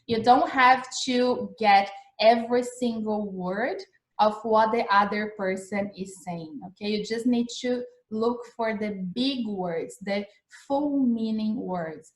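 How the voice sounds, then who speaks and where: A woman's voice: mid-range voice, measured pace, reverberant, rough recording. A young woman with a Brazilian accent and a mixed accent from years abroad, giving a chatty, encouraging English lesson, checking in often with her listeners. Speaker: English teacher. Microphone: video call recording.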